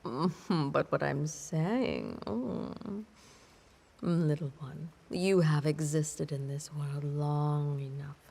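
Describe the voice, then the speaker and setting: A woman playing an ancient, imperious vampire mistress in an intimate audio roleplay, predatory and seductively menacing, with theatrical, breathy half-whispered delivery and drawn-out words. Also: low-pitched, darkish tone, full character voice. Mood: commanding, ominous.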